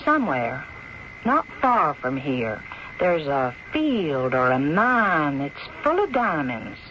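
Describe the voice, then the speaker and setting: A woman's voice: sits low, big pitch swings, takes it slowly, fairly bright tone, exaggerated voice. An actress in a radio drama playing a domineering, impatient, greedy woman with pointed, insistent questioning. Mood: impatient, goading. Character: domineering, impatient, greedy frontier woman who goads and needles. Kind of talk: old-time radio drama acting. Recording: muffled, noisy old radio recording.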